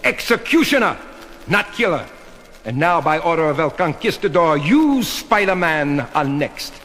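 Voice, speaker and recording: An actor playing a haughty, pompous professor and deluded conquistador villain, with formal theatrical diction in a seventies superhero audio drama. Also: male voice, on the high side, big pitch swings, measured pace, a bit bright, full character voice. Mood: imperious, menacing. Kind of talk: 1970s superhero record audio drama acting. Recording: reverberant hall sound with background hiss.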